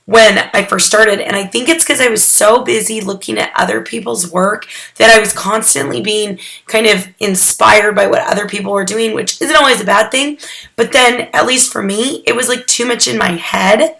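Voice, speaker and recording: A hoarse young woman getting over a cold, talking casually through a photography critique, rambling with lots of "um"s and restarts. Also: medium pitch, fairly even intonation, normal speed, sharp tone. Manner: chatty and rambling, with many "um"s and restarts. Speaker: photography mentor critiquing student work. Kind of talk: casual recorded critique addressed to students.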